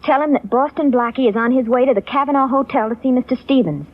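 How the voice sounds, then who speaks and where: A woman's voice: high-pitched, fast, no echo. An earnest anxious young woman. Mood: urgent. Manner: earnest, questioning. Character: anxious, devoted young woman, the hero's girlfriend. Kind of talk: 1940s radio acting. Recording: tinny old radio broadcast.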